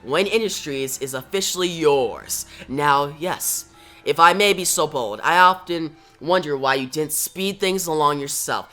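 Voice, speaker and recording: A man's voice: fairly high pitch, a little slow, sharp tone, soft background music. A man voicing a callous prison doctor with a formal, sardonic manner in a comic-book story retelling. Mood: smug, callous. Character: callous, smugly polite male prison doctor.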